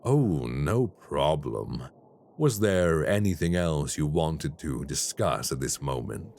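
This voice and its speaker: A man voicing a cold, calculating crime boss in an audio roleplay, speaking in a slow, measured and formally menacing way. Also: very deep voice, sing-song, vocal fry, darkish tone, slight background noise, exaggerated voice. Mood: satisfied.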